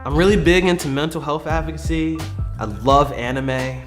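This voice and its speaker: An excited young ballplayer over music. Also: male voice, fairly high pitch, normal speed. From Baltimore, United States. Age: young adult. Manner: enthusiastic, casual, says "like" often. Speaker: baseball player. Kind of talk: feature interview. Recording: interview with music underneath.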